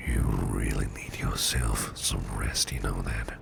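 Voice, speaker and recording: Man voicing a protective businessman boss, ASMR roleplay, extremely low pitch, breathy near-whisper, slow tender delivery, noisy ambient background. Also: fairly even intonation, exaggerated voice. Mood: soothing, tender. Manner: tender, slow, reassuring murmur. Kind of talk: ASMR roleplay, soothing personal attention.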